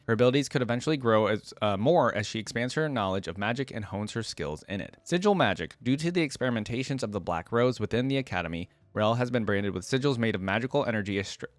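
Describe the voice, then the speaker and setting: A man's voice: lowish voice, no room sound. A man hosting a reaction video, talking casually and informally as he thinks aloud and reads aloud. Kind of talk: casual fan reaction commentary, partly reading wiki aloud.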